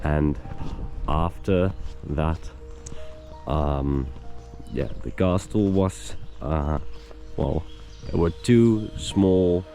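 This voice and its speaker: A man with a hoarse voice, narrating his hike in an unhurried, hesitant way as a travel vlogger. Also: low-pitched, warm tone, faint music behind. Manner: unhurried, hesitant, explaining as he walks. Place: outdoors on a hiking trail.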